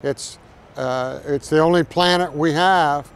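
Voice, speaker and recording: A man giving a halting interview outdoors, with fillers and pauses. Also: a little high, a little hiss or hum.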